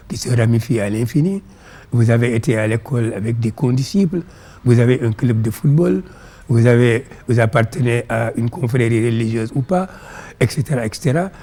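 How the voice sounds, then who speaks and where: A man with a Senegalese accent and Pulaar as his first language, explaining ideas in measured, didactic phrases as an interview guest. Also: medium pitch, dark tone. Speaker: interview guest, philosophy author.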